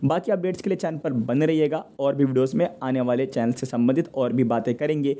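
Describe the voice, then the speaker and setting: A man's voice: medium pitch, fast, warm tone. A man hosting a news update, narrating informally and speaking directly to viewers in a conversational way. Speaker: host of a TV channel news update show.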